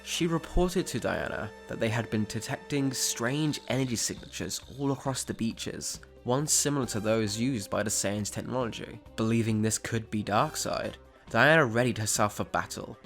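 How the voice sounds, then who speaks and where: A man with a mid-pitched voice narrates a dramatic scripted story. He works as the storyteller of an audio drama, with faint music playing behind him. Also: fairly bright tone.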